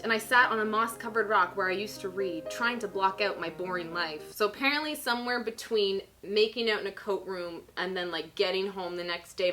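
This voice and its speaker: A woman hosting a vlog, giving a theatrical, expressive reading of her own writing and chatting casually in a giggly way. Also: mid-range voice, rough recording. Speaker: vlog host.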